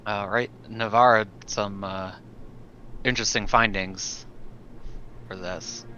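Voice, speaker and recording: A man speaks very slowly and deliberately while roleplaying at a tabletop game. His deep voice has little melody but a bright, forceful tone, over faint noise. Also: fairly even intonation, low-quality recording. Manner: measured, deliberating.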